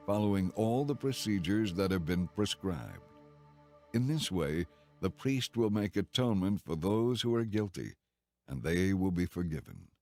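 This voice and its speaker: A man narrating scripture from a script in a solemn, measured, formal way. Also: low-pitched, darkish tone. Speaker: audiobook narrator.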